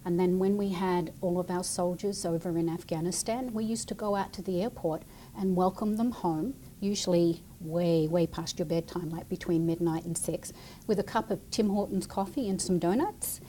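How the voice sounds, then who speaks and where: A woman's voice: on the low side, normal speed, mellow, slight background noise, rough recording. A woman explaining her work to children as a guest, in plain, chatty language. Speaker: city military liaison and veteran, guest speaker.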